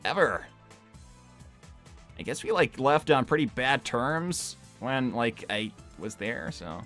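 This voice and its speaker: A man voicing a brash young cartoon hedgehog hero, flustered and defensive, talking casually and haltingly in character. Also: medium pitch, very animated, a bit bright, faint music behind. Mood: flustered, evasive. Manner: halting, casual teenage slacker phrasing. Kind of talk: improvised in-character livestream Q&A.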